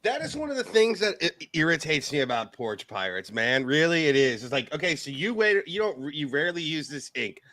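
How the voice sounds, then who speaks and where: Male co-host, casual and jokey, rambling delivery; bright, dry close-mic sound. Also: on the high side, very animated, fairly quick, few pauses, no echo. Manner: rambling, jokey, lots of fillers and restarts. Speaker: podcast co-host. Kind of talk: casual chat.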